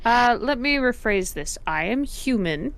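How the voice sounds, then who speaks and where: A woman's voice: a little high, lively intonation, a little slow, gravelly, a bit bright. A woman playing a tabletop role-playing game, casually narrating and voicing her character's part. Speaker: tabletop role-play player.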